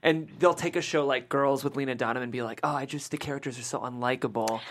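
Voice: medium pitch, steady tone, fast talker, a little hiss or hum. A podcast host with an enthusiastic, chatty manner, interviewing and discussing scripts casually with guests. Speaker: host of a script table-read show.

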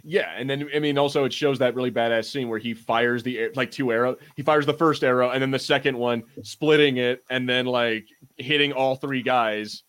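A man's voice: medium pitch, steady tone, normal speed, few pauses, a bit bright. A man hosting a podcast, chatting casually about TV shows in an informal way with some mild swearing. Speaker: podcast host.

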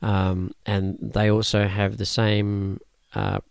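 Deep-voiced man, Cantonese native. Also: big pitch swings, creaky, smooth, no room sound, studio quality. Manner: measured, thoughtful, with frequent fillers and restarts. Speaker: martial arts teacher. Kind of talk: conversational interview answers.

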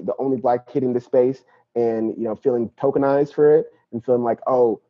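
A man's voice: mid-range voice, husky. A young man from Nigeria, a guest talking casually and reflectively about his life, often tossing in "you know" and "right?". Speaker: podcast guest, activist. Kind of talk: casual interview talk. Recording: dull lo-fi podcast audio.

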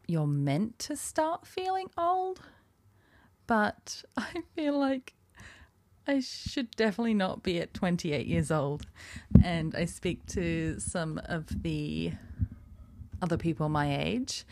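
A woman's voice: on the low side, darkish tone, no room sound. A woman in her twenties hosting a casual solo podcast, chatty and self-deprecating, often laughing at herself. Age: 20s. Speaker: podcast host.

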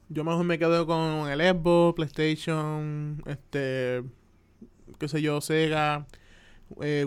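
A man's voice: fairly high pitch, smooth. A man in his twenties with a Puerto Rican accent, hosting a casual solo podcast and chatting loosely, with lots of "este" and "pues" fillers. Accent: Puerto Rican Spanish. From Puerto Rico. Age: 20s. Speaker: podcast host.

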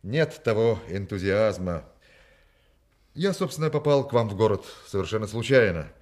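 An actor playing a brazen young swindler in a Russian radio play, suave, ingratiating and mock-dignified. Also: male voice, fairly low pitch, animated, normal speed, a little mellow, lightly acted. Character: smooth, brazen young male swindler posing as hero's son.

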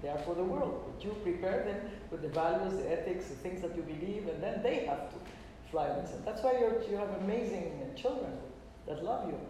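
A woman's voice: on the low side, lively intonation, fairly quick, warm tone. A woman interviewing in a casual, rambling, enthusiastic way, readily affirming what her guest says. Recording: echoey hall, distant mic, faint noise.

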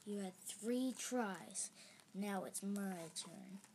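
Woman as a gruff, slow, growling monster. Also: mid-range voice, a little mellow, a little hiss or hum, voice pushed down. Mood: gruff threat. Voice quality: grunting, growling. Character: hulking gruff male ooze monster, grumpy, thundering.

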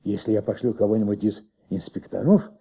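A gravelly, brooding police commissioner. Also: male voice, lowish voice, dark tone. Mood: coaxing, insistent. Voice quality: gravelly, husky. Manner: halting, repeats phrases while thinking aloud. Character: ailing, brooding police commissioner, male, insistent, commanding, pondering aloud. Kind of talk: Soviet radio play acting. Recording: muffled old radio recording.

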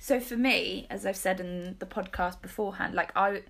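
A young British woman in her early twenties hosts a relaxed podcast chat. Her voice sits at an ordinary middle pitch, and she talks informally, often correcting herself as she goes. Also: moderate pace, gravelly, background noise. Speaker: podcast host. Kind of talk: casual chat.

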